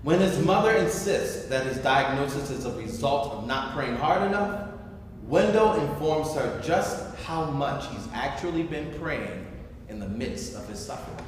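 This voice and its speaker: A distant man's voice in a heavily echoing hall hosts a staged reading. He speaks at a medium pitch as narrator and presenter, over faint noise. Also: expressive, a little slow. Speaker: host reading stage directions. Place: large echoey room before a live stream. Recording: echoey hall, far mic, faint noise.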